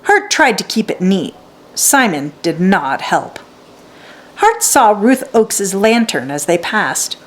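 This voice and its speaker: A woman storyteller reads a children's book aloud. Her mid-pitched voice moves up and down in an animated way, giving the narration plenty of expression. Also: slow pace.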